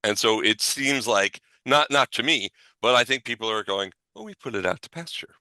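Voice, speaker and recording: A man hosting a podcast in a casual, bantering way, with a jokey manner and some drawn-out words. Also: mid-range voice, expressive, pushed and bright, no room sound, low-quality recording. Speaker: podcast host.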